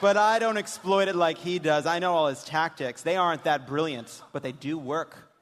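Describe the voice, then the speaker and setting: A man reading the part of a politician's candid, jaded adult daughter in a dry, deliberate comic dramatic reading on stage. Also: a little high, fairly bright tone, close to an everyday voice. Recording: reverberant theater, some noise.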